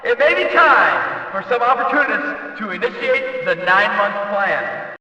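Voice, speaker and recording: A man playing a folksy, excitable small-time race announcer in a video game, projecting wry commentary and sponsor plugs over a stadium loudspeaker. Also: very high-pitched, heavily acted. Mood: playful, gossipy. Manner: projected, drawn-out announcer delivery. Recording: distant, dull PA sound with heavy echo.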